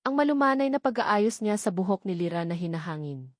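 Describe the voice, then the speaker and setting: A woman audiobook narrator reading a scripted dramatic story in measured, short phrases. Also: medium pitch, expressive, dry recording, studio quality.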